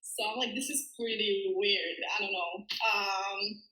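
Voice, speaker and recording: A woman's voice: medium pitch, fairly even intonation, measured pace, bright, forceful tone. A young woman with an Israeli accent, a guest telling stories in casual chat, laughing often and saying "like" a lot. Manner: chatty, laughs often, leans on "like". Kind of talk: casual storytelling. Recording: echoey room.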